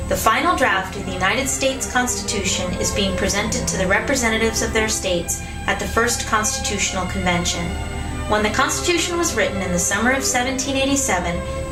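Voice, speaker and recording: A woman's voice: mid-range voice, lively intonation, a little fast. A woman reading scripted educational narration as the narrator of a history show. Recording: roomy echo, music behind. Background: music.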